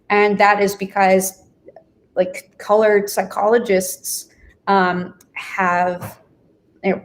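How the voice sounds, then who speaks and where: Woman guest, mid-pitched, chatty explainer who often asks "right?". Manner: chatty, explanatory, frequent "you know" and "right?". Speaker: affiliate manager guest. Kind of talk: live stream discussion.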